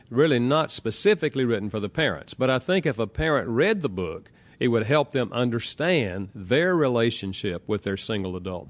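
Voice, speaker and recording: A man with a Southern American accent, an author answering interview questions in a calm, explanatory way and walking through examples. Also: lowish voice. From Southern United States.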